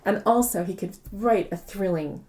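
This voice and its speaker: A woman's voice: mid-range voice, normal speed, mellow. A woman speaking conversationally as an interviewed author, explaining things reflectively and repeating words for emphasis. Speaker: interviewed novelist.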